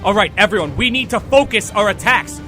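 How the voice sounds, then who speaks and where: A man's voice: sits high, expressive, a little fast, loud music behind the voice. A man playing an earnest superhero team leader in an audio drama, barking urgent orders. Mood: urgent, commanding.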